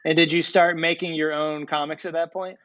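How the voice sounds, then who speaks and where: A man's voice: a little high, level tone, brisk, fairly bright tone, no room sound. A man hosting a podcast, interviewing in a casual, conversational way on a slightly muffled, dry recording.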